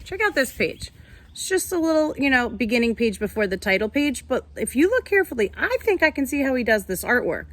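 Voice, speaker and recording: Female primary-style teacher, fairly high voice, storytelling for young children with simple, instructive explanations. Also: big pitch swings, fairly quick, fairly bright tone. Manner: instructive, addresses young listeners with simple questions. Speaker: teacher reading aloud.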